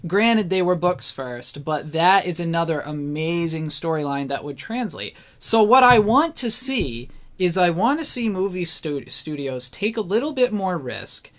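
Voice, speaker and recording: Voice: medium pitch, animated, measured pace, few pauses, a bit bright. A co-host in a casual discussion show, speaking informally and with firm opinions. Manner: informal, opinionated, mildly swearing.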